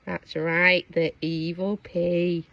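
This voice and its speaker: A woman reading a storybook aloud to young children, dramatic and expressive, engaging her listeners with questions. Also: fairly low pitch, takes it slowly, vocal fry, dry recording, dull audio. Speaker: storybook reader.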